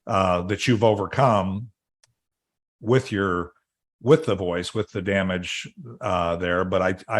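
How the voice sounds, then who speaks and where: A middle-aged man hosting a podcast interview, talking in a rambling way with many fillers and restarts. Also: on the low side. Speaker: podcast host. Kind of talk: casual interview chat.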